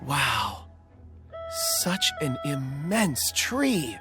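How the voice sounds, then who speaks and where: Quiet reserved game traveler girl, slow talker, hesitant, bit of music behind. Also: deep voice, big pitch swings, exaggerated voice. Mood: thoughtful. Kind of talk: video game voice acting.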